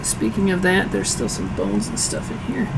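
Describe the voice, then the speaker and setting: Hoarse man chatting while cooking. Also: high voice, wildly swooping pitch, normal speed, very noisy. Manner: chatty, self-deprecating, answers chat aloud while cooking. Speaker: streaming cooking show host. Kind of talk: casual cooking livestream chat. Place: home kitchen.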